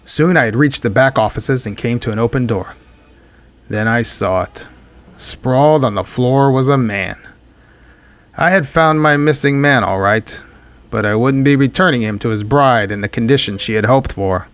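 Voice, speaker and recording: An actor playing a hard-boiled, wisecracking private detective in 1940s radio drama style, with a wry deadpan delivery. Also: male voice, medium pitch, moderate pace, lightly acted. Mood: brooding narration.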